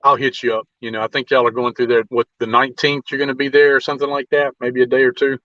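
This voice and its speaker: A man's voice: medium pitch, flat delivery, quick pace, dry recording, muffled recording. A man with a Southern US accent hosting a casual, informal interview chat. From Mississippi, United States. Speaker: podcast host interviewing a musician.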